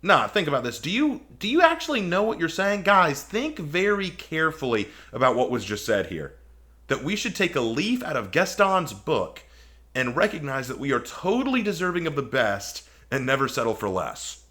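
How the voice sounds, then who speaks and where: A man's voice: on the high side, sing-song, normal speed, pushed and bright. A man giving casual, opinionated video commentary, arguing emphatically with sarcasm and incredulous rhetorical questions. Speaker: video commentator hosting a response video.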